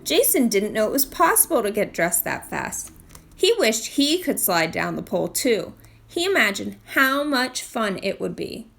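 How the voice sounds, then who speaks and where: A woman reading a children's picture book aloud in an expressive storytime style. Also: medium pitch, normal speed, vocal fry. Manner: expressive read-aloud delivery. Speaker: storytime reader, literacy program manager.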